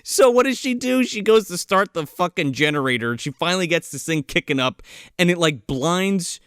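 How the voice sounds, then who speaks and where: A man's voice: high voice, expressive, normal speed, fairly bright tone, smooth, no echo, clean studio sound. A man co-hosting a casual movie review podcast, retelling scenes with profanity and lots of laughter. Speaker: podcast co-host.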